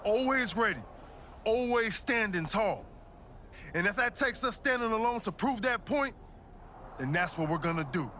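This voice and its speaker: A man's voice: high-pitched, expressive, normal speed, pauses often, sharp tone, slight background noise. A man playing a defiant, proud pro wrestler in video game cutscenes, slangy and emphatic as he rallies his side. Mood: rousing. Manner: slangy, emphatic motivational delivery.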